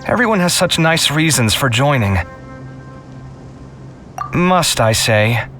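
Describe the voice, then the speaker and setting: A man voicing a confident but easily flustered young scholar in a video game, with a theatrical, expressive delivery. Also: on the high side, slow, faint music behind. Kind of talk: scripted video game character acting.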